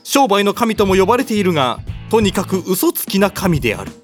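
A woman's voice: mid-range voice, big pitch swings, a little fast, faint music behind. A woman giving scripted storytelling narration for a comedy audio drama.

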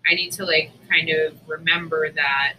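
A woman with a sharp, very bright voice pitched slightly low talks casually and candidly, as if venting to a close co-host. Her delivery is informal and unfiltered, with noticeable noise behind the recording. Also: fairly even intonation, moderate pace, low-quality recording. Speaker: podcast co-host.

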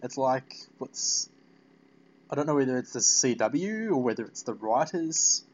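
A man's voice: mid-range voice, expressive, a little slow, darkish tone, highs cut off. A man hosting a casual fan interview, rambling and repeating his phrases as he goes. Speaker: podcast interviewer.

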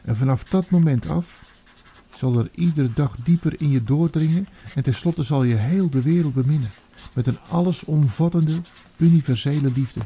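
An actor playing a remorseful old army commander counselling his son, in a solemn, deliberate radio drama monologue. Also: fairly high pitch, dark tone, slightly rough. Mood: earnest, exhorting. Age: older.

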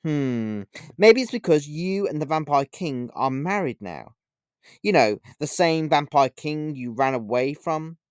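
A man voicing a taunting, theatrical witch, pitched up. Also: lively intonation, clear, resonant tone, no room sound, clean studio sound. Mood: sly, taunting. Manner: mocking, drawn-out emphatic phrasing. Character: taunting, theatrical female witch, scornful and playful. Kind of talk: audiobook character voice.

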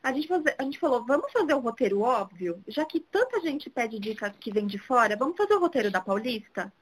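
A woman's voice: a little high, big pitch swings, fairly quick, husky, low-quality recording. A woman, talking casually as an interview guest. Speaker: interview guest, social media city guide creator. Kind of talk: casual chat.

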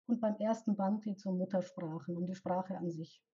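A woman's voice: on the low side, fairly even intonation, dark tone, slightly rough, muffled recording. A woman from Bavaria with a light Bavarian accent and rolled R, a poet explaining her work thoughtfully as an interview guest. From Bavaria, Germany. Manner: thoughtful, explains with pauses and restarts. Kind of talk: casual interview.

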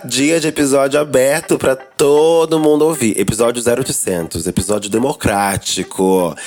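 A Brazilian man hosts a chatty podcast in a drag persona, his voice hoarse and a little rough from a lingering cold. He talks in a campy, playful way full of jokes and asides, at an ordinary middle pitch. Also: a little mellow. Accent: Brazilian Portuguese. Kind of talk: casual podcast chat.

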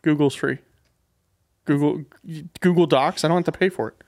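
A man's voice: a little high, normal speed, darkish tone. A man chatting casually as a podcast guest, in a self-deprecating and slightly nervous way. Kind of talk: casual chat.

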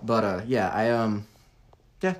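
Halting young man, lowish voice. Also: slight background noise. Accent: light British-tinged American. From Hong Kong. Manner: halting, many restarts and fillers. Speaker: singer-songwriter guest. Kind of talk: casual interview chat.

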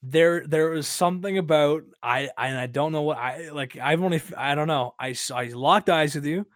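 A man's voice: on the high side, fairly bright tone, no echo, clean studio sound. Young man rambling through a story. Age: early 20s. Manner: rambling, halting, repeats phrases, frequent swearing. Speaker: podcast host. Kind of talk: casual podcast storytelling.

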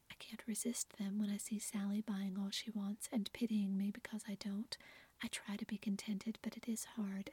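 A remorseful young wife, whispered by a woman reader in a storybook, her voice all breath with almost no tone. The whisper stays level in pitch, and it sounds close and dry. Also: a little mellow, breathy edge, no room sound. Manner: whispered throughout.

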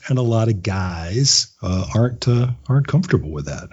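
Male interview guest, writer type, husky and reflective, conversational delivery, slightly dull recording. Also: on the low side, expressive, normal speed, darkish tone. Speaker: interview guest, audio drama writer and voice actor.

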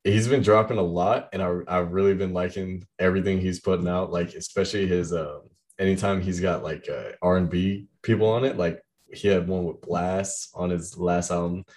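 Young man, very low deep voice, loose rambling chat, heavy fillers. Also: fairly even intonation, moderate pace, a little mellow. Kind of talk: casual chat about music.

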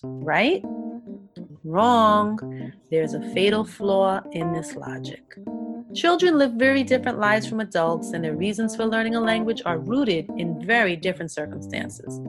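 Woman host narrating over guitar music. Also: medium pitch. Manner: clear, deliberate, rhetorical. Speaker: podcast host. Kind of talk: scripted narration and interviewing.

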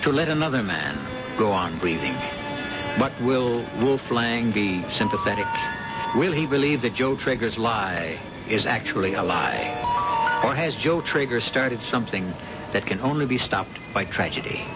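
A man hosting and narrating an old-time radio suspense drama in a deliberate, theatrical manner. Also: fairly low pitch, big pitch swings. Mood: suspenseful. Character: dramatic male host narrating a suspense tale. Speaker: narrator and host. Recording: muffled vintage radio recording under loud music.

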